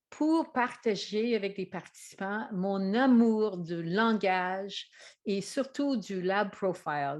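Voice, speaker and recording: Older English-Canadian woman, native English speaker; hesitant trainer pitch, mid-range voice. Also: darkish tone. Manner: hesitant with frequent fillers. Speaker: trainer and consultant. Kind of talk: presentation to camera.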